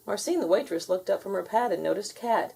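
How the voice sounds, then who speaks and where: A woman reading a children's story aloud with expressive, theatrical delivery. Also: mid-range voice, brisk, creaky, husky. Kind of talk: animated scripted read-aloud narration.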